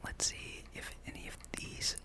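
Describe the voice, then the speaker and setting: A gaming host speaks entirely in a soft, breathy whisper. The delivery is unhurried and casual, musing through gameplay as an ASMR ramble, close to the microphone.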